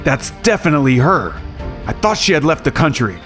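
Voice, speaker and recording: A brooding, animated businessman. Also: fairly high pitch, a little fast, faint music behind. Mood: shocked, irritated. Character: brooding, proud wealthy businessman, conflicted about a child. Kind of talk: dramatised audio series acting.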